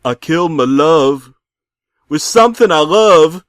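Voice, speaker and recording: A man's voice: on the high side, expressive, unhurried, a bit bright, dry recording, studio quality, voice pushed up. A man voicing an anguished middle-aged male caller in a cartoon parody, faltering and near sobbing. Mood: fearful, sorrowful.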